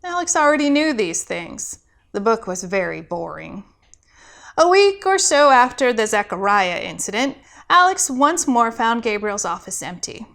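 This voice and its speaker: A woman's voice: fairly high pitch, big pitch swings, normal speed, gravelly, fairly bright tone. A woman reading a scripted story expressively, as a pastor telling a tale.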